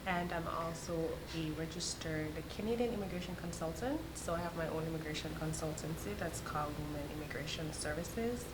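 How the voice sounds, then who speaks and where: A woman's voice: low-pitched, a little fast, hardly any pauses, very noisy. A woman with a Jamaican accent, a guest on an interview show, talking in an easygoing, candid way and laughing readily. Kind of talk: casual interview chat.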